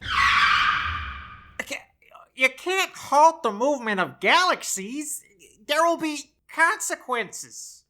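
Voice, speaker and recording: A woman's voice: sits high, big pitch swings, takes it slowly, long pauses, bright, forceful tone, full character voice. An actress playing an exasperated, officious female copy assistant in a comedic audio drama, dry and pedantic. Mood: flustered.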